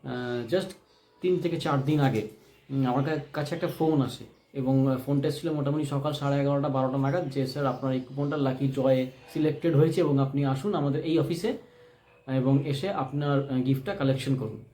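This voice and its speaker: A man telling a personal story unscripted, in a deliberate, emphatic way that repeats phrases for stress. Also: mid-range voice, steady tone, mellow. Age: adult, married. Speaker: vlogger telling a personal experience. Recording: echoey room.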